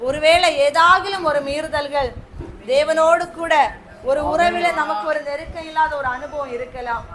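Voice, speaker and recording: A woman's voice: sits high, moderate pace, a bit bright. A woman preacher leading a fervent prayer sermon, urging her listeners on with repeated calls. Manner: repetitive urgent exhortation. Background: congregation responding amen.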